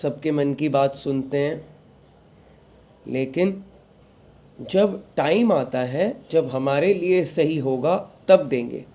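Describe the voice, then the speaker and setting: A young man, recovering from a sore throat, hosting a devotional talk and giving calm advice to followers while mixing in English phrases. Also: on the high side, takes it slowly, pauses often. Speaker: satsang host.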